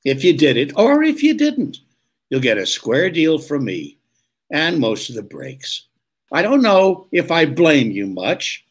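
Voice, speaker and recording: An actor playing a suspicious police lieutenant who questions bluntly and insistently, in 1940s radio drama style. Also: male voice, a little high, wildly swooping pitch, measured pace, slightly rough, exaggerated voice. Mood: wry, stern.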